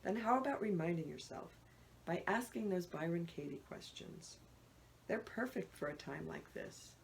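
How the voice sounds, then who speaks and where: Woman narrator as soothing husband, supportive, calm, novel dialogue, noisy home recording. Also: lowish voice, moderate pace, vocal fry.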